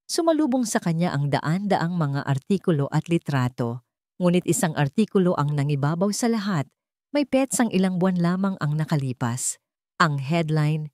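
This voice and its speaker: A woman with a Filipino accent reading a dramatic story as narrator, with deliberate, suspenseful phrasing. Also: low-pitched, a little mellow, no room sound, clean studio sound.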